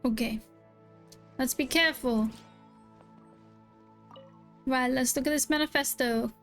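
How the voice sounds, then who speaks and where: Female game streamer, high voice, casual reactions and read-aloud passages, faint music behind. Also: slow pace, fairly bright tone. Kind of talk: game playthrough reaction, reading dialogue aloud.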